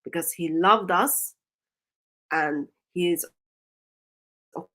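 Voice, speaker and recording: A woman with a Turkish accent, a native Turkish speaker, hosting a religious discussion show in a deliberate, emphatic way that leans on repeated phrases. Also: fairly low pitch, a bit bright. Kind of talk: live discussion with evangelistic exhortation.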